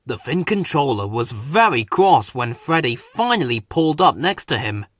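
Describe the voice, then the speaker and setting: A man's voice: mid-range voice, very animated, moderate pace, fairly bright tone, dry recording, clean studio sound. A man reading a scripted children's story as its narrator.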